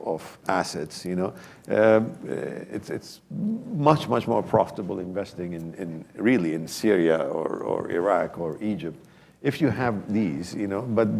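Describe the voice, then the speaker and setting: A man with a low, gravelly voice speaks on a conference panel. He talks in emphatic bursts and often restarts his sentences. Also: a little mellow.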